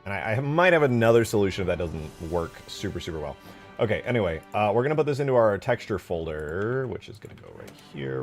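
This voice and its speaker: Low voiced guy on stream, jokey slang, talking thru what hes doing, music in the bg. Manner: slangy, jokey, thinking aloud, bursts of excitement. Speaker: live streamer and game modder. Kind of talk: casual livestream chat and screen tutorial.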